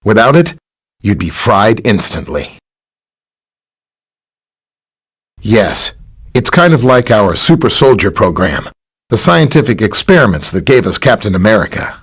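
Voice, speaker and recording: An actor playing a helpful, earnest scientist superhero in a video game, explaining technical matters in a friendly way. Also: male voice, on the low side, sing-song, normal speed, a bit bright, husky, heavily acted. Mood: explanatory. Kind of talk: scripted game dialogue, explaining and briefing.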